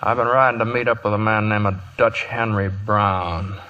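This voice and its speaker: A steely cowboy hero from an old radio western. He talks low, terse and controlled, on a slightly dull vintage recording. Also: steady tone, bright, forceful tone. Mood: steely calm. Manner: measured, terse. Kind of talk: 1950s radio western acting.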